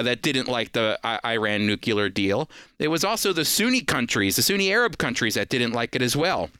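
A man's voice: mid-range voice, bright, forceful tone, clean tone, no room sound. A middle-aged American man hosting a program, giving scripted commentary with an earnest, preacherly emphasis. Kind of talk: scripted faith and politics commentary.

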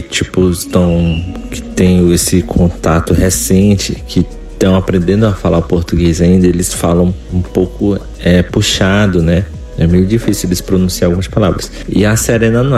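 A man speaking as an interview guest, relaxed and explanatory, with frequent hesitations. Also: low-pitched. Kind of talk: conversational interview.

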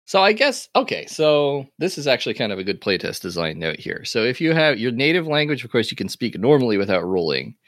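A man running a tabletop game playtest as game master, talking in a relaxed, rambling way with fillers and laughs. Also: medium pitch, a little fast, hardly any pauses, no room sound, clean studio sound.

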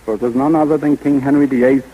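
A man's voice: mid-range voice, fairly even intonation, fast. A man giving scripted expert advice on an old radio broadcast, formal and plainly reading from a script. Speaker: stocking designer, guest expert. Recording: muffled, reverberant 1930s radio broadcast.

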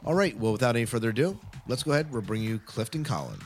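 A man's voice: medium pitch, very animated, quick pace, loud music behind the voice. A man hosting a podcast, talking casually and introducing an interview. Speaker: podcast host.

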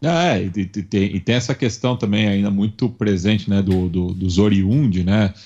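A man with a São Paulo Brazilian accent giving conversational commentary as a podcast panelist, talking in a halting, discursive way with many tag words. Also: on the low side, warm tone, breathy edge. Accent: São Paulo Brazilian Portuguese. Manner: halting, discursive, frequent "né" tags. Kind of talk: conversational historical and political commentary. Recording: dull, muffled lo-fi audio.

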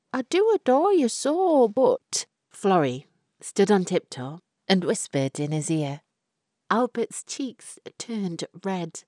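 A woman reading literary fiction as a narrator, in a measured, expressive storytelling manner. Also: sits low, no echo, clean studio sound. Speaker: short story reader.

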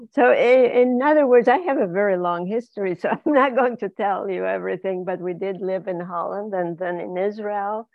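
A woman's voice: mid-range voice, moderate pace, dry recording, narrow, tinny audio. An older woman from Izmir, telling her life story unhurriedly as an interview guest and laughing often. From Izmir, Turkey. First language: Ladino. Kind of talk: remote interview, personal story.

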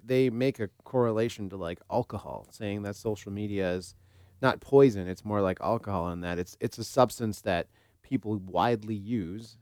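A man's voice: lowish voice, a little mellow, no room sound, clean studio sound. A man co-hosting a talk show, giving his opinions in an informal, conversational way. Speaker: podcast co-host. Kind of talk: casual discussion.

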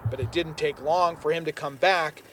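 A man delivering a scripted news report in a measured way. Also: fairly high pitch, a little hiss or hum.

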